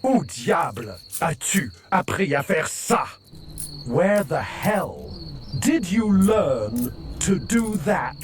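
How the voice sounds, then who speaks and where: A man's voice: fairly high pitch, very animated, slow pace. A man playing a cruel, violent, domineering character in an audio drama, speaking in harsh, menacing outbursts. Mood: furious demanding. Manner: harsh, menacing, bilingual French and English outbursts. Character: cruel, violent, domineering man who seizes a gifted child. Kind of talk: dramatic audio fiction acting.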